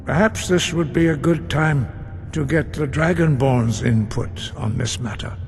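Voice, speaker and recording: Slow, grave old monk. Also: male voice, on the high side, a little mellow, a little hiss or hum. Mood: patient, urging. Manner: solemn, measured. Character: grave, weary, disapproving elderly male monk elder. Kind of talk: fantasy game acting. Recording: prominent game music behind.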